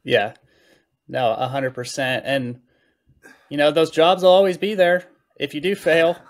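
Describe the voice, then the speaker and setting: A man's voice: fairly high pitch, fairly even intonation, measured pace. Candid, plainspoken man in his thirties. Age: 30s. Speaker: podcast guest. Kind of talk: casual conversational interview talk.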